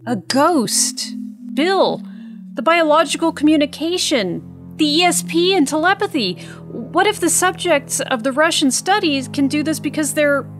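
A woman's voice: high voice, expressive, unhurried, faint music behind. A woman acting in an audio drama as a serious, keen government investigator. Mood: amazed, intrigued.

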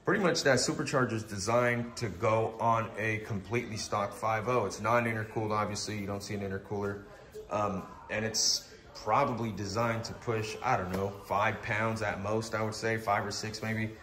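A man's voice: lowish voice, fairly even intonation, normal speed, fairly bright tone, roomy sound. A man losing his voice to a cold, with a stuffy nose, talking casually about a car in his garage with lots of ums. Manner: rambling, with many ums and uhs. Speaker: car builder. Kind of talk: casual walkaround narration.

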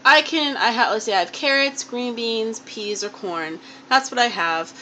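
A young woman walks through her dinner at home in an easy, rambling way, full of ums and small restarts. She holds the phone close in a small kitchen. A steady hiss of background noise runs under the home video. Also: a little high, normal speed. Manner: chatty and unpolished, many ums and restarts. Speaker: home cook vlogger. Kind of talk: casual cooking demonstration. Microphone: handheld camera or phone held close.

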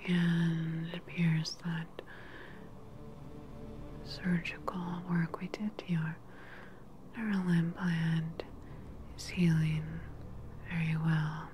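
A female evil scientist, played by a woman, speaks in a breathy, close ASMR whisper. Her voice sits low and moves slowly, calm and clinical as in a sci-fi roleplay. A noticeable bed of lab noise runs behind her. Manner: slow, measured, soothing clinical patter. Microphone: close-up microphone. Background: sci-fi lab ambience.

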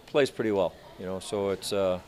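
An older man in his sixties, a hockey coach answering reporters after a game, speaking in a measured, halting way with many restarts. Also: low-pitched, darkish tone. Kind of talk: postgame press interview. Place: arena media room in front of sponsor backdrop.

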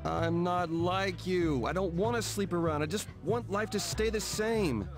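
A man's voice: sits high, measured pace, music in the background. An actor with an American accent playing an anxious, whiny young man who gets flustered and exasperated in a game cutscene. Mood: anxious, defensive. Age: young adult. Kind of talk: video game cutscene acting.

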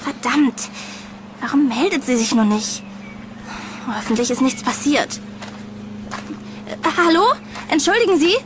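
A woman's voice: high voice, lively intonation, a little slow, pauses often, background noise. A woman playing a worried, insistent young woman in a horror audio drama, earnest and anxious. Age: young adult.

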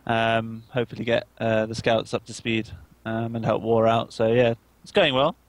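A man's voice: mid-range voice, little pitch movement, normal speed, no echo. A man answering casually as a guest gamer, in a matter-of-fact way.

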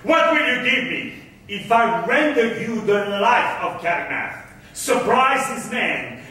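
Sly self-assured merchant in old stage play, declaiming slowly, super echoey, mic far off. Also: male voice, high-pitched, sharp tone, exaggerated voice. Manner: declamatory, deliberate, grandiose. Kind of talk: theatrical stage reading of Elizabethan drama. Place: concert hall stage, far camera. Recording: echoey hall from afar, faint noise.

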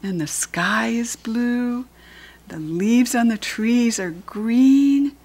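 A woman's voice: fairly high pitch, drawn-out words, darkish tone, slightly rough. An older woman with an American accent reads a picture book aloud to young children, teaching as she goes with simple questions and pointing things out. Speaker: children's read-aloud host and reading teacher.

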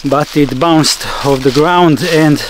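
A man's voice: on the high side, takes it slowly. A man in his thirties talking casually and matter-of-factly to camera as an outdoor vlog host, recorded in a forest. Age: 30s. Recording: outdoor camera audio with clear background noise.

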